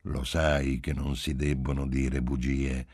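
Man as a deep-voiced, patient father. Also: little pitch movement, slow, a little mellow, slightly rough, no room sound, clean studio sound, full character voice. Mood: playful scolding. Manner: teasing, patient. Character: calm, playful, gently chiding father.

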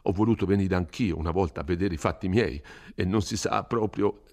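A man's voice: sits low, fairly quick, darkish tone. A man voicing an earnest, impatient young peasant who asks direct, insistent questions in an audiobook reading.

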